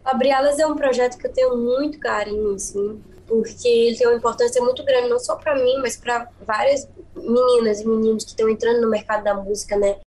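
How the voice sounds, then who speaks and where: A woman's voice: on the high side, fairly even intonation, a little fast, darkish tone, poor audio. A young woman with a Paraíba northeastern Brazilian accent, a singer talking earnestly in a radio podcast interview, often repeating words for emphasis. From Northeast Brazil (Bahia-born, raised in Paraíba). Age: young adult. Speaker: singer-songwriter guest.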